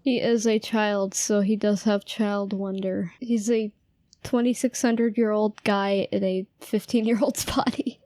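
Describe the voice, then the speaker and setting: A woman's voice: mid-range voice, steady tone, unhurried, warm tone. A tired-sounding woman hosting a gaming video, commenting casually and informally with wry asides as she reacts. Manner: informal, wry asides, echoes game lines. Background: game music.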